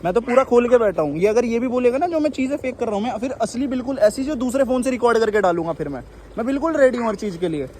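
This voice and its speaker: A man's voice: high voice, a little mellow. A young man with a Delhi accent talking argumentatively on a phone call, insistent, repeating himself and cutting in. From Delhi, India. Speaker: social media exposé creator on a phone call. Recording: mildly noisy recorded phone call.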